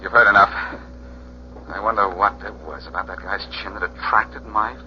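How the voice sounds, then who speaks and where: An actor playing a wry, jaunty, wisecracking detective hero in a 1940s radio drama. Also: male voice, low voice, normal speed, natural voice. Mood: breezy. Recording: muffled, hissy 1940s radio recording.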